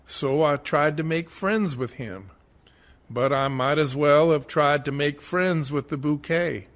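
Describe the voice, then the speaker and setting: A man playing a dead blasphemer, defiant yet humbled, in a deliberate, earnest radio-play monologue. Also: on the high side, fairly bright tone. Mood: humbled, searching.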